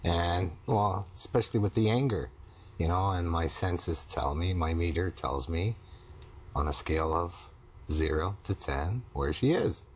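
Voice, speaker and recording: A man talking slowly and thoughtfully in a casual conversation as co-host. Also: low-pitched, lively intonation, slight background noise. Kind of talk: casual conversation about marriage.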